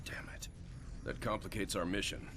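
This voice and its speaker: An actor playing a stern, composed middle-aged starship captain in a video game, speaking in a measured, commanding military manner. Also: male voice, deep voice, animated, in a whisper. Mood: grim, resolute. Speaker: warship captain. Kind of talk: video game cutscene acting. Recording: game soundtrack music behind.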